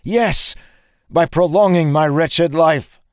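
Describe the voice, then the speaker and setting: A man's voice: on the low side, expressive, moderate pace, a little mellow, no echo, studio quality, pitched above the performer's own voice, lightly acted. A man voicing an anguished, guilt-ridden warrior made young again, earnest and pleading, in a fantasy audiobook. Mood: desperate.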